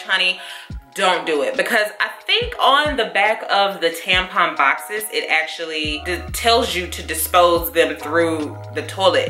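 Young woman vlogger, deep bright voice, chatty and animated, roomy bedroom sound with soft music under. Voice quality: deep, low voice. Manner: chatty, animated, addresses viewers as y'all and honey. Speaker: lifestyle vlogger. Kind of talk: casual chat to camera. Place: bedroom at home. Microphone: camera mic, seated facing camera.

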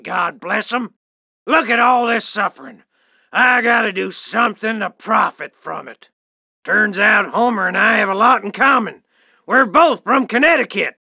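Cartoon Texan tycoon, brash and greedy, piercingly bright, slow folksy drawl. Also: male voice, very high voice, creaky, dry recording, heavily acted. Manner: loud, whooping, folksy drawl. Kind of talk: exaggerated cartoon game voice acting.

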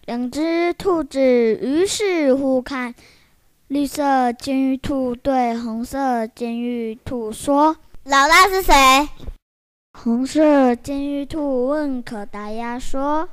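A woman's voice: sits high, slow pace, smooth, no room sound. A very young female narrator, reading a scripted children's story. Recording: loud music behind. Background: music.

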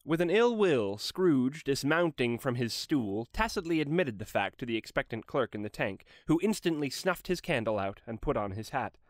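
A man's voice: medium pitch, big pitch swings, normal speed, few pauses, dry recording, studio quality. A man giving a dramatic scripted narration of a classic story.

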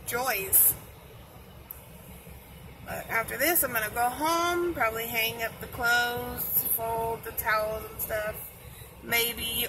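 A young woman talks to her phone camera in a chatty, rambling way, her voice sitting a little high. The recording is noisy, with a clear hiss under her voice. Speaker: vlogger. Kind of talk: casual family vlog. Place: home bedroom and parked car at night. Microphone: phone camera at arm's length.

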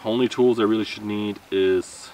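Male outdoor vlogger, thirties, deep voice, casual rambling delivery, recorded a few metres from the mic. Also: fairly even intonation, normal speed, a little mellow. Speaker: camping vlogger. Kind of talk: casual vlog talk to camera. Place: outdoor wooded campsite. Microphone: camera a few metres away. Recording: distant mic with outdoor background noise.

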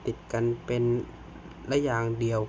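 A man's voice: measured pace, a little mellow, slight background noise, low-quality recording. A man giving casual, exclamatory reaction commentary to horror clips, swearing and muttering asides to viewers. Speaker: reaction video host.

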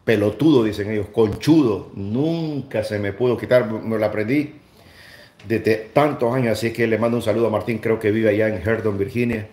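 A man's voice: lowish voice, moderate pace, darkish tone. A middle-aged man with a Salvadoran accent, chatting casually as a livestream host and repeating phrases for emphasis. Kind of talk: casual livestream chat and commentary. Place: small room indoors. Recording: roomy indoor echo.

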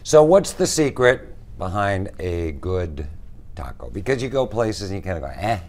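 A very deep, lively older man with a drawl, chatting folksily as a TV anchor on a studio kitchen set. His pitch swings widely as he jokes with guests, and a faint ambient hiss sits under the studio sound. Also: moderate pace. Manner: folksy, jokey, Southern "y'all". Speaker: TV news co-anchor. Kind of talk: casual local TV chat.

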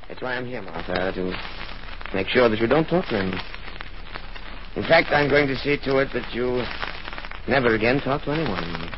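An actor playing a polite, quietly menacing manservant, hesitant and measured, in 1940s radio drama style. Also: male voice, medium pitch, animated, close to an everyday voice. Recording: noisy, muffled 1940s radio recording.

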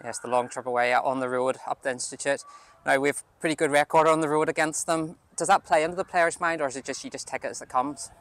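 Male interviewer, club media, conversational, bit higher voice, flat delivery, faint noise around. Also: quick pace. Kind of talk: post-match interview questions.

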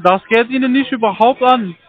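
A man's voice: very high-pitched, normal speed. A man with a foreign accent, a non-native speaker of German, talking as a call centre salesman on a phone call. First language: not German.

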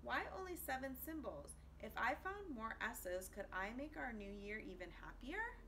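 Woman reading as a bright, curious little boy. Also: on the high side, very animated, normal speed, slight background noise, voice pushed up, exaggerated voice. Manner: inquisitive, earnest.

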